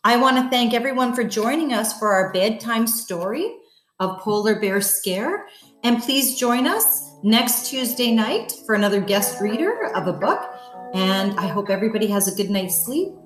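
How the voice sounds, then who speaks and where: A woman's voice: on the high side, normal speed, echoey room, faint music behind. A woman reading a bedtime story aloud to children, expressively, with wondering asides to her young listeners. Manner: addresses children, expressive, asks wondering questions. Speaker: guest bedtime story reader.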